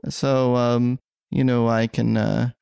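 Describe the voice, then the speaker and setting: A man's voice: medium pitch, flat delivery, takes it slowly, creaky, darkish tone, smooth, no echo. A man hosting a podcast who talks casually about his own struggles, jokes around, and fills his speech with "you know.". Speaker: podcast host. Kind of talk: casual self-disclosing chat.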